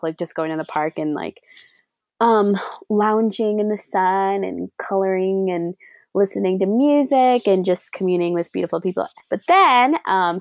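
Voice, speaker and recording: A young woman co-hosting a casual podcast, chatting in a rambling, animated way with lots of fillers and a few Spanish words mixed in. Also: mid-range voice, no echo. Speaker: podcast co-host. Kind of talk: casual chat. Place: lives in Madrid.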